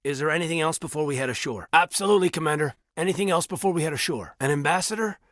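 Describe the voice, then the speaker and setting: A man playing an earnest, loyal and conflicted space marine officer in a video game, with a husky voice and a sincere military manner. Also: on the high side, rapid-fire, fairly bright tone, no echo, studio quality, lightly acted. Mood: dutiful, respectful. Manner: sincere, military, clipped and intense. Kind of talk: video game voice acting, dramatic dialogue.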